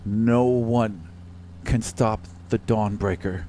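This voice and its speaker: A man voicing a defiant woman warrior in a tabletop game, slow and portentous, warning of doom. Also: fairly low pitch, steady tone, dark tone, a little hiss or hum. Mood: ominous, defiant.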